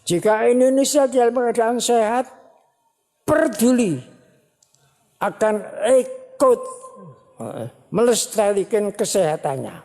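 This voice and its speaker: A woman religious teacher giving a sermon at a ceremony, speaking slowly and repeating phrases for emphasis. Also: on the high side, lively intonation, dark tone. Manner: slow, repetitive, emphatic preaching. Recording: long hall reverb.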